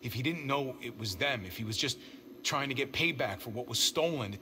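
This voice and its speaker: A man playing an earnest, conciliatory survivor in a dramatic video game, speaking in a measured, placating way. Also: mid-range voice, expressive, bright, forceful tone, slight background noise. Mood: conflicted. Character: earnest, conciliatory male survivor caught between family loyalties. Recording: music under the voice.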